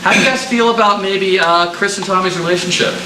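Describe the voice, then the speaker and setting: A man's voice: sits high, fast talker. A man casually moderating a live audience talkback on a theater stage, chatty and joking. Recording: distant, echoey, very noisy theater with audience.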